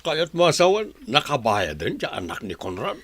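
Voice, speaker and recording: A man's voice: fairly high pitch, wildly swooping pitch, normal speed, no echo, full character voice. A man playing a grumbling, envious member of a struggling farm family in a radio drama.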